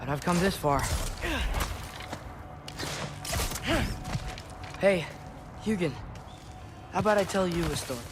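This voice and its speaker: A young man plays a plucky teenage giant boy in a video game, with a high, youthful voice. He talks casually and earnestly, determined underneath. Clear ambient noise sits behind the voice. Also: a little fast, natural voice. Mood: casual, musing. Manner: casual, earnest, talking to himself. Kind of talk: naturalistic video game acting.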